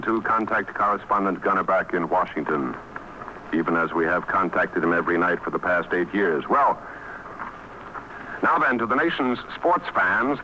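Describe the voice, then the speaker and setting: A man anchoring a live radio news bulletin, calmly stalling as the links to his reporters fail. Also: lowish voice, a little hiss or hum, rough recording. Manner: composed, stalling through failed links.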